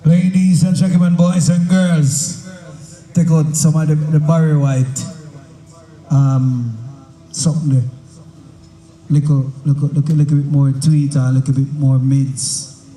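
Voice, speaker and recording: A man, running a mic check at a sound system dance, in slow drawling patois banter. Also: on the high side, little pitch movement, mellow. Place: outdoor sound system event. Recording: echoey outdoor sound system with music.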